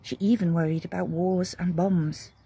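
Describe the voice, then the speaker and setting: A woman reading a children's story expressively, with dramatic pauses and emphasis. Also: on the low side, steady tone, gravelly, background noise.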